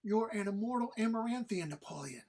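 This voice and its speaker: A woman playing a menacing immortal mentor in an audio drama, with a stern, taunting, deliberate way of lecturing and a husky voice. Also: mid-range voice, expressive, a little mellow. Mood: stern, unsympathetic.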